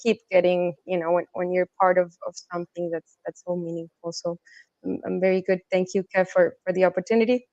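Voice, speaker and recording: A woman's voice: fairly low pitch, level tone, fairly quick, warm tone, dry recording, dull audio. Woman, dry close sound, interview guest. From Colombia, also raised in the States and China. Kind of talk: casual conversational interview answers.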